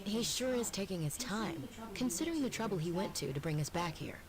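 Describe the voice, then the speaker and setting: A woman's voice: mid-range voice, lively intonation, fairly quick, crowd noise, natural voice. An actress voicing an earnest young female surgeon in a video game, speaking politely. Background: game music.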